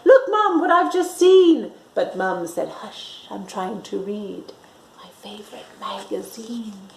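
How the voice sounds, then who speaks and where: A woman reading a picture book aloud to young children in a bright, sing-song storytime way, doing little voices for the characters. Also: medium pitch. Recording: echoey room.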